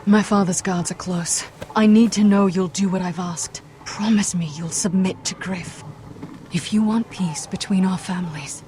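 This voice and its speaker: Young noblewoman for fantasy game , played by a woman: dark-toned, lowish, guarded, earnest, grave and formal. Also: fairly even intonation, a little hiss or hum, lightly acted. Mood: insistent. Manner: measured, grave, formal phrasing. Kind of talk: video game drama voice acting.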